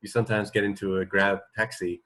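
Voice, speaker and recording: A man, middle-aged, co-hosting a radio show in casual chat, halting and tentative as he thinks aloud. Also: fairly low pitch, level tone, a bit bright.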